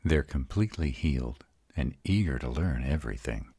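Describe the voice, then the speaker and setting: A man's voice: a bass voice, lively intonation, moderate pace, dark tone, slightly rough, no room sound, studio quality, exaggerated voice. A man voicing an arrogant but tender vampire mentor in an intimate roleplay audio drama, soothing and reassuring his mate. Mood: composed, serious.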